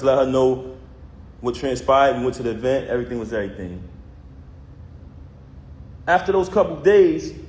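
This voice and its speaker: A man speaks straight to his viewers, recounting a personal story in a heated, emphatic way with plenty of street slang. His voice sits at an ordinary male pitch. The room gives it a noticeable echo. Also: darkish tone. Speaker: vlogger recounting a personal conflict. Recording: roomy echo.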